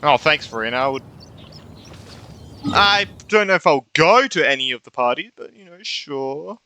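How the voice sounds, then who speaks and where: Animated, bright-voiced male gaming streamer. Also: a little high, normal speed, smooth. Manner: irreverent, swearing, reactive. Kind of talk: casual gameplay commentary.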